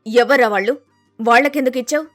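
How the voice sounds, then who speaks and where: Woman acting bossy storybook mother-in-law, accusing, scolding, demanding, fairly high voice, close dry sound. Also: fast, fairly bright tone, no echo, soft background music. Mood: angry, scolding. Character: domineering, suspicious, scolding middle-aged mother-in-law.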